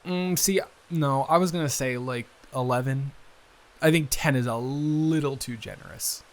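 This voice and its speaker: A man's voice: mid-range voice, moderate pace, lightly acted. Man playing a musing, hesitant eldest brother. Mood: casual, relaxed. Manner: conversational, hesitant, rambling. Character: responsible eldest son, friend's brother, musing and wry. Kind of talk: improvised intimate audio roleplay.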